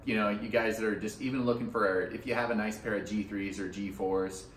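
A man's voice: fairly low pitch, steady tone, brisk. A man in his thirties gives a casual fishing report to the camera in a small fly shop, loose and chatty, with many fillers and restarts. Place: small fly shop with hats and rods on the walls. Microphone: camera a few feet away. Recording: roomy shop sound, mic at a distance, faint background hum.

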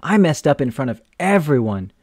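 An earnest, sensitive little girl from a storybook, as a man reading aloud puts her on. She talks a bit higher than his usual voice, with lively, expressive rises and falls. The recording is dry and close. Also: moderate pace, no room sound. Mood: upset.

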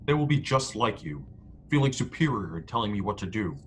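Man playing proud, defiant low-voiced starship crewman. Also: expressive, a little fast, close to an everyday voice. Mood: defiant, bitter. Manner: declamatory, speaks of himself in third person. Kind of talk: radio play acting over video call. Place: indoor room at home. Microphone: headset on a video call. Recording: background noise on video call.

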